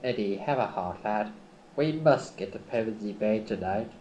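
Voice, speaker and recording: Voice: medium pitch, lively intonation, moderate pace, a little mellow, dull audio, a little hiss or hum, lightly acted. An amateur performer voicing an oily, wheedling comic schemer in an exaggerated radio-play reading, recorded on old cassette tape. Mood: coaxing, scheming.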